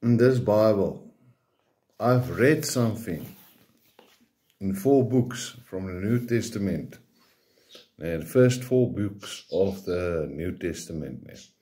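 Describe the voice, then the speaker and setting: Slow, mellow Afrikaans-accented man preaching. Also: low-pitched, lively intonation. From South Africa. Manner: hesitant, ends phrases with a questioning "né?" tag. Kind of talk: informal preaching monologue.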